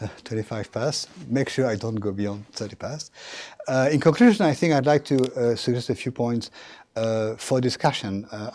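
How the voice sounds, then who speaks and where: A man giving a university lecture, formal and halting, with many fillers and restarts. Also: medium pitch, mellow. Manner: formal, addresses audience directly.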